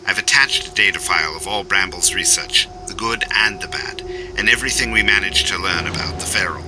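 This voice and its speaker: Man acting earnest, low-pitched time traveller, music behind. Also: brisk, lightly acted, poor audio. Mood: solemn, tender. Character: brilliant, urgent yet compassionate male alien time traveller. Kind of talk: full-cast audio drama acting.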